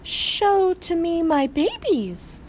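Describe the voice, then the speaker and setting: Woman putting on a French mother mouse, vain, melodramatic, high, swooping, sighing. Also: big pitch swings, very slow, darkish tone, a little hiss or hum, heavily acted. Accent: put-on French. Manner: theatrical, wailing and sighing.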